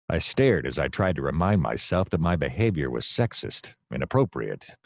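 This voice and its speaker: An actor playing a seedy, self-deluded private detective, narrating a comic noir radio play in a deadpan, melodramatic way. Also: male voice, low-pitched, no room sound, clean studio sound. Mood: wry, brooding. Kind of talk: hardboiled noir first-person narration, comedic radio play.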